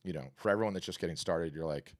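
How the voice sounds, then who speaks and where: A man hosting a live art business workshop and answering questions, talking in an energetic, conversational, salesy way with a scratchy, hoarse voice. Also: low-pitched, very fast. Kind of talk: live webinar talk and Q&A.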